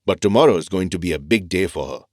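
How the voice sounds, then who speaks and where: An actor playing a thoughtful, reassuring doctor who explains things calmly in an audio drama. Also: male voice, deep voice, no room sound, clean studio sound. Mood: serious, reassuring. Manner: measured, explanatory, calm. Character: thoughtful, reassuring male doctor and family mentor.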